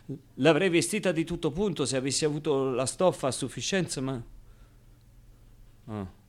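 An actor playing a streetwise, wistful orphan boy in a halting, emotional theatrical monologue. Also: fairly high pitch, lightly acted. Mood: tender. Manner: halting, emotional, swinging from outbursts to hushed confiding. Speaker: stage actor.

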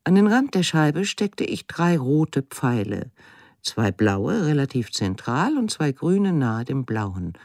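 A woman's voice: a bass voice, big pitch swings, slow pace, darkish tone, no echo, clean studio sound, full character voice. An actress playing a woman artist who recalls her work and life with intensity in a radio drama monologue. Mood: reflective.

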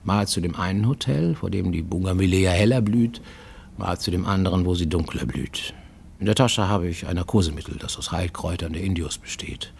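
An actor playing a paranoid, self-justifying fugitive who works as an illicit medic, narrating formally and deliberately in a radio play monologue. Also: male voice, deep voice, creaky, a little mellow, slight background noise. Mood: bitter, matter-of-fact. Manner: formal, deliberate, insistently explaining himself to a listener.

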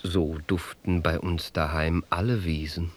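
An actor playing a lovestruck, courtly knight in a rhymed fairy-tale drama, speaking in formal verse. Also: male voice, low voice, fairly even intonation, a little slow. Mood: courtly wooing. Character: lovestruck noble knight, captive turned gardener, courtly and eager. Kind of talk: rhymed theatrical musical fairy-tale acting.

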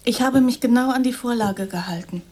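An actress playing a timid, downtrodden young typist in a radio drama, answering tersely and meekly. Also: female voice, on the high side, a little fast, a little mellow, lightly acted. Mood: meek, helpless. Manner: meek, terse, withdrawn.

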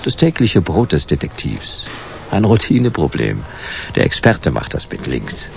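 An actor playing a dogged, wry private detective in a sci-fi noir radio drama, laconic and sardonic. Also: male voice, a bass voice, sing-song, measured pace, creaky, dull audio, noisy background, exaggerated voice. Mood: wry, dry.

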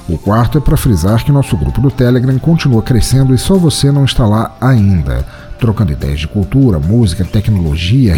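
Brazilian male podcast host and horror reader: low, mellow, animated delivery, faint music underneath. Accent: Brazilian Portuguese. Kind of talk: podcast announcements and scripted horror story narration.

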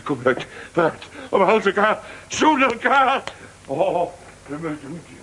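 An actor in a radio drama playing a greedy, excitable, meddling middle-aged father-in-law. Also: high voice, slow, slight background noise, heavily acted. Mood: overjoyed, greedy.

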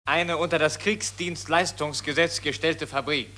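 A man's voice: on the high side, fairly even intonation, moderate pace, pushed and bright. A man narrating scene settings in scripted announcements for a 1940s radio play. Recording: old 1940s radio recording.

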